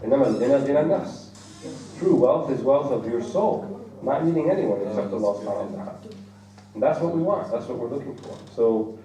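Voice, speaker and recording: A man's voice: medium pitch, lively intonation, fast, a crowd in the background. An American man giving a religious lecture, explaining Arabic terms with vivid everyday examples, recorded from a distance in an echoey hall. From United States. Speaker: Islamic lecturer. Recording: echoey hall, distant mic, faint noise.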